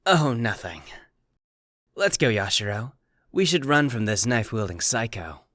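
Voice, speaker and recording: An actor playing a nosy, teasing middle-aged smoker in an anime fan dub, with a wry, laid-back, probing manner. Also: male voice, medium pitch, lively intonation, a little slow, fairly bright tone, dry recording, studio quality.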